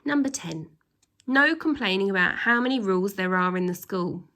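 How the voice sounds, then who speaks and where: Female reader as male school tyrant for a storybook: pompous, stern, creaky, hammering each rule with drawn-out emphasis. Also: medium pitch, lively intonation, sharp tone. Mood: menacing, imperious. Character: pompous tyrannical male headmaster reading out his rules. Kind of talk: children's storybook read-aloud.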